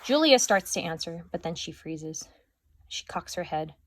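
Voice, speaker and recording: A teacher reading a children's novel aloud, narrating expressively. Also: female voice, lowish voice, expressive, unhurried, a bit bright, no echo. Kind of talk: storybook read-aloud.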